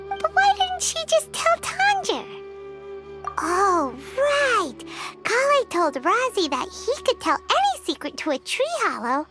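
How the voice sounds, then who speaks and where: A tiny fairy companion chirps in a squeaky, extremely high childlike voice. The intonation swoops wildly, curious and exclamatory. It is video game cutscene acting with music behind it. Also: female voice, full character voice. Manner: exclamatory, inquisitive.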